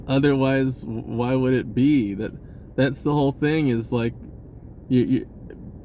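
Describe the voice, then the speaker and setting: A man's voice: mid-range voice, warm tone, a little hiss or hum. A man talking casually about spirituality in a rambling way, repeating words and swearing offhand. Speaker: spiritual vlogger.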